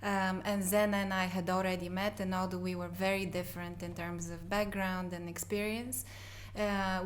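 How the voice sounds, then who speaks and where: Flat-voiced businesslike woman. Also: on the low side, slight background noise. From Bulgarian and Polish parents. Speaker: guest, company co-founder. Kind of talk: casual interview talk.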